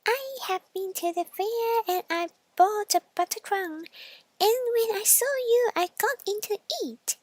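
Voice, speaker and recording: A squeaky, very high little storybook pig, as a woman storyteller puts it on. Small, clever and cheerful, it speaks in a polite, eager way. The sound is dry and close. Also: a little slow, darkish tone, dry recording. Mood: gleeful, boastful. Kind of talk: bedtime storybook character voice.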